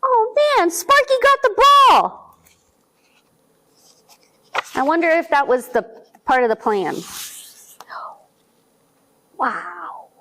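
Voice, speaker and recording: A woman with a high, very lively voice reads a picture book slowly to little children. She stops often to ask them questions, drawing them into the story. Her pitch swings widely from line to line. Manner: slow, animated, speaking to young children with questions. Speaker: children's librarian reading aloud.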